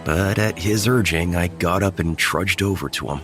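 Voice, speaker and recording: Deep-voiced man narrating horror. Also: moderate pace, a bit bright. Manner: tense first-person storytelling. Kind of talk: horror audio drama narration. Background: music.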